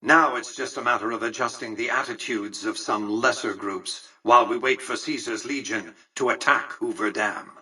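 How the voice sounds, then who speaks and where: An actor playing a cold, imperious old tycoon in a video game, speaking in formal, articulate, condescending phrases. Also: male voice, mid-range voice, moderate pace, few pauses, pushed and bright, husky, recorded from a distance, low-quality recording. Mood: commanding, businesslike. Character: cold, imperious old male tycoon ruling a casino city. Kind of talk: scripted video game character dialogue.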